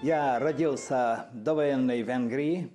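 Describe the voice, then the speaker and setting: Man, 70s, from Transcarpathia; warm, mellow interview voice, unhurried. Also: mid-range voice. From Transcarpathia, then Hungary. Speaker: interviewee, factory founder. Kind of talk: interview answers. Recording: faint music under the voice.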